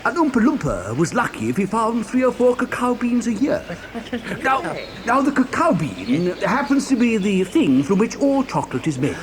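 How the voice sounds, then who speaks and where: A man's voice: high-pitched, huge pitch swings, normal speed, crowd noise. A man playing an eccentric, gleeful chocolate factory owner in an audio dramatisation, effusive, stammering and theatrical. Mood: eager storytelling.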